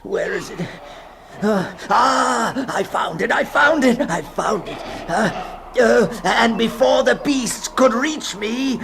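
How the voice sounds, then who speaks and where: Terrified, halting storyteller, noisy old recording. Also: male voice, very high voice, animated, creaky, exaggerated voice. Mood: frantic panic. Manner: theatrical, halting, gasping, lurching between whispers and cries. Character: terrified, desperate male climber narrating his nightmare escape. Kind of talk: 1960s radio dramatic monologue of a fantasy tale. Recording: noisy 1960s radio recording.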